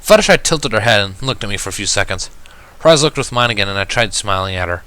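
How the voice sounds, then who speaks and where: A man giving an expressive amateur narration of a fan fiction story. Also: fairly low pitch, fast, clean tone, poor audio.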